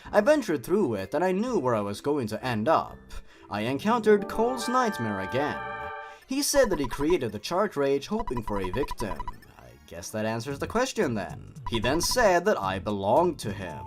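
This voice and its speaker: A man's voice: medium pitch, huge pitch swings, moderate pace. A young man hosting a review show in scripted commentary, with theatrical, sardonic emphasis. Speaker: host of a horror story review channel. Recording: music behind the voice. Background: background music.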